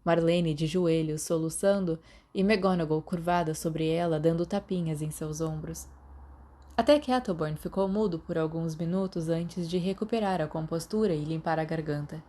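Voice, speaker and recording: A woman with a Brazilian Portuguese accent reading fan fiction aloud in steady, measured narration. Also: low voice. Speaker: podfic reader.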